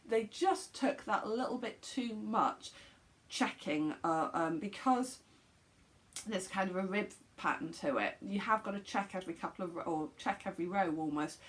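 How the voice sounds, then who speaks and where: A woman with a slightly croaky throat, chatting casually to camera at home as a knitting podcast host, rambling with many ums and restarts. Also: medium pitch, normal speed.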